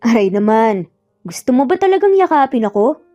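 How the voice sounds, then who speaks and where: A woman voicing a teasing, self-assured male employer in a romance story, with an unhurried manner. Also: on the high side, sing-song, dark tone, muffled recording, faint music behind.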